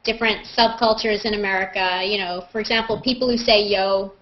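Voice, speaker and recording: A woman's voice: medium pitch, steady tone, brisk, slight background noise. A woman chatting casually and jokingly in slangy speech on a live group video call. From Canada. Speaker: host. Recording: dull, lo-fi video-call audio.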